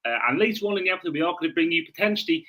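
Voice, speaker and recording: Male sports podcast co-host, bright forward tone, slightly high pitch, chatty and informal. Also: rapid-fire. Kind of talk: casual podcast chat.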